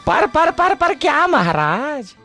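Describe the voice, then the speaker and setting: A woman voicing a scheming, pompous astrologer sage in a cartoon mythological drama, theatrical, drawn-out and insistently repetitive. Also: high voice, sing-song, a bit bright, full character voice. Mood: insistent, sly. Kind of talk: animated mythological drama dub. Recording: background music under dialogue.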